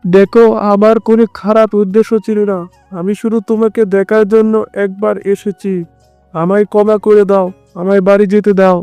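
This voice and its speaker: A man's voice: high voice, little pitch movement, fairly quick, mellow, clear, resonant tone, no echo, faint music behind, natural voice. A man voicing a hapless young cartoon fox turned into a bird, speaking in a plaintive, pleading way. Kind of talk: Bengali children's cartoon dubbing.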